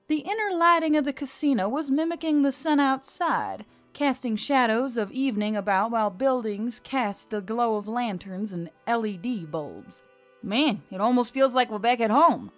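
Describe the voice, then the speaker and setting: A woman reading scripted fantasy fiction aloud as the narrator. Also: mid-range voice. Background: faint music.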